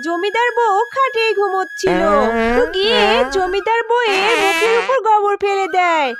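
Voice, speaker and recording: A woman's voice: very high-pitched, moderate pace, over a noisy crowd. A woman narrating a scripted children's cartoon story as its storyteller.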